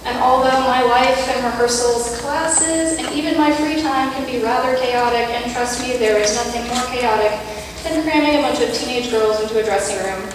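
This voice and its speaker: A young woman giving an earnest, measured reflection from a church pulpit, with light self-deprecating asides. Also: on the high side, noisy background. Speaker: youth speaker. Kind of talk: prepared reflection read in church. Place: large church sanctuary, at a pulpit. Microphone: pulpit mic, camera far off. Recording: echoey church hall from far off.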